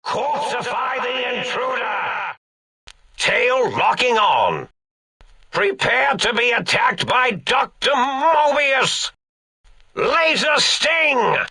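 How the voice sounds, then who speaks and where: An actor playing a manic, grandiose old mad scientist villain in a video game, gravelly and melodramatic. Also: male voice, extremely high pitch, big pitch swings, drawn-out words, pushed and bright, raised voice, distant mic, exaggerated voice. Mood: manic, commanding. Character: manic, theatrical mad old scientist commanding robot scorpions.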